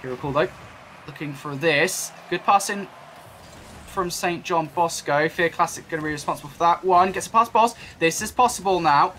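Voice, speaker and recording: A British man commentating a high school esports match, chatty with jokey asides. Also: normal speed, sharp tone, slight background noise. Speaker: esports caster.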